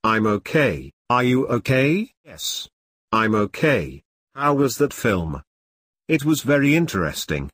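A man slowly reading short English practice questions and answers aloud, each one twice, in a measured, deliberate way. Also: medium pitch, sing-song, fairly bright tone, dry recording, studio quality. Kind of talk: slow scripted drill reading.

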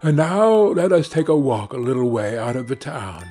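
A man's voice: mid-range voice, big pitch swings, normal speed, dark tone, slightly rough, soft background music. A man voicing a hungry, weary, sorrowful male sheepdog in a fairy tale, with plain delivery. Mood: weary, plaintive.

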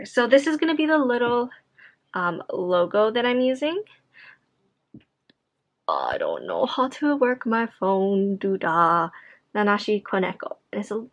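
A woman's voice: medium pitch, fairly bright tone. A tired young woman vlogging casually to camera from her home office, chatty and rambling with many fillers. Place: home office room, indoors.

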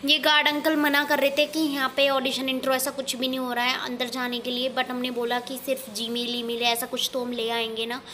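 A woman's voice: high-pitched, flat delivery, brisk, hardly any pauses, bright, forceful tone. A young woman explaining updates straight to her viewers in a casual vlog, filmed on a handheld phone outdoors. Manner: explaining, addressing viewers directly. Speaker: vlogger. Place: outdoors by city office buildings. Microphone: handheld phone camera. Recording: outdoor street noise.

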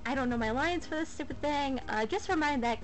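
A woman's voice: high-pitched, a little fast. A woman improvising a comedy fandub in a goofy, mumbling way on a home microphone. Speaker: amateur dubber ad-libbing over cartoon clips. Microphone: USB desktop microphone. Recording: music behind a home USB microphone recording. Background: film music.

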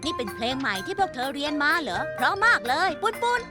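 A cheerful little talking bus robot from a kids' cartoon, high and very animated. It is eager to help and reassures everyone, with loud children's music behind. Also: fast talker. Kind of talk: dubbed children's cartoon acting.

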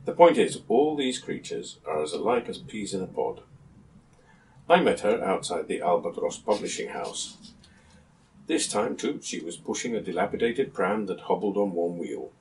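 A man in his seventies reading a literary passage aloud in a measured, articulate storytelling manner. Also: deep voice, big pitch swings, darkish tone, slight background noise. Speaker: reader of a book passage. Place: small book-lined room at home, indoors. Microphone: camera a few metres away. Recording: distant camera microphone in a room.

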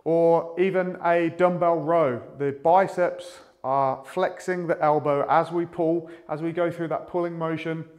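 A man in his thirties with a fairly high voice gives an instructional talk as a fitness coach. He explains step by step in a measured, methodical manner, speaking to camera in a small room. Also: fairly even intonation, darkish tone. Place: small indoor room with a whiteboard.

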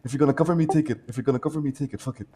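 A man's voice: medium pitch, moderate pace, warm tone, a little hiss or hum. A man teammate in a roleplay game, talking casually, hesitant at times and swearing.

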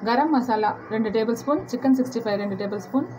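Woman explaining a home cooking recipe. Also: a little high. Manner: unhurried step-by-step instructions, addressing viewers directly. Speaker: cooking channel host. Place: home kitchen at the stove. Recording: noisy home recording.

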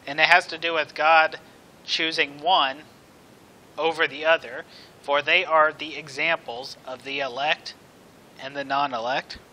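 A man preaching a sermon from a church pulpit, speaking in earnest, emphatic phrases with deliberate pauses. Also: on the high side, fairly even intonation, sharp tone, rough recording.

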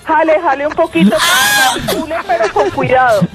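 A woman's voice: high-pitched, a little fast. A woman speaking as a veterinarian on a phone call, giving practical instructions. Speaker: veterinarian answering a prank call. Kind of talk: phone conversation. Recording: phone call on radio with music.

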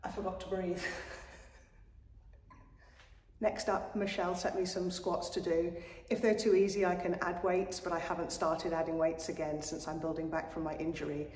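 A woman's voice: fairly low pitch, flat delivery, moderate pace, a little mellow. A woman chatting casually to camera in a wry, self-deprecating way as she trains. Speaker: vlogger, injured athlete training. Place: small hotel gym, indoors. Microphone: camera at arm's length. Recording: echoey, reverberant hard-walled room.

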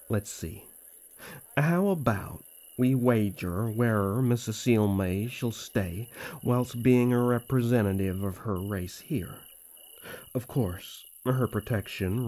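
A man's voice: on the low side, a little mellow. A man voicing a playful, cocky young elf prince with a teasing, courtly way of talking, in a fantasy audio roleplay. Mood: scheming, pleased.

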